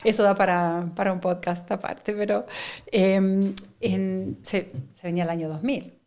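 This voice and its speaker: A middle-aged woman from Argentina with an Argentine Spanish accent, a guest speaking in a measured, reflective interview manner. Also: medium pitch, fairly even intonation, darkish tone. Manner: reflective, measured, with frequent fillers and laughs. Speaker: guest, company director. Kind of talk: conversational interview.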